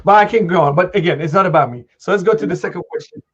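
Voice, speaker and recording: Middle-aged man, higher-pitched, slightly muffled sound. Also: very fast, husky. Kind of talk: conversational live interview.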